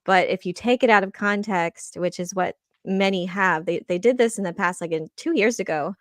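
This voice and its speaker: A woman on a podcast panel, explaining things casually in a halting way with restarted phrases. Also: mid-range voice, no echo, clean studio sound. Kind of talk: casual discussion.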